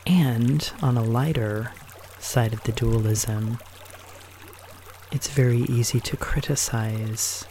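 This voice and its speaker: A man leading a guided meditation in a gentle, unhurried manner, leaving pauses between short phrases. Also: mid-range voice, steady tone, a little hiss or hum.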